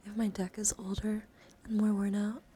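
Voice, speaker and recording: A woman streaming ASMR as host, talking in a soft, breathy, often whispered voice, gentle and rambling as she addresses her viewers. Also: mid-range voice, flat delivery, moderate pace. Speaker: livestreamer and VTuber host. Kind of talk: ASMR, softly whispered livestream chat. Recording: clearly noisy ambient background.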